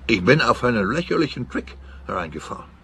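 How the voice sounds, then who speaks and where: An actor playing a jumpy, blustering older emigrant back from America, mixing in English phrases, in a radio play. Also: male voice, medium pitch, very animated, quick pace, gravelly, a bit bright, a little hiss or hum. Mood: indignant. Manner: indignant, peppered with English phrases.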